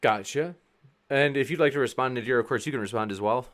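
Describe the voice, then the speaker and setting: A man's voice: medium pitch, fast talker, fairly bright tone. A man hosting a debate, informally and in a friendly way moderating and reading out audience questions.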